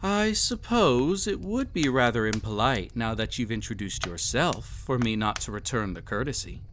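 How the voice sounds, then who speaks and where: A man's voice: mid-range voice, animated, fairly bright tone, slight background noise, close to an everyday voice. Man acting a haughty, cruel emperor with sweeping intonation. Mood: haughty pride. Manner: haughty, formal, mocking, deliberate theatrical phrasing. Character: cruel, arrogant, possessive male emperor, devious and condescending. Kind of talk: scripted audio roleplay, villain monologue addressed to listener.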